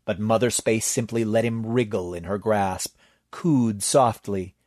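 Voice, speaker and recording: A man reading fiction aloud as narrator, with expressive storytelling. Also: lowish voice, unhurried, dry recording, clean studio sound.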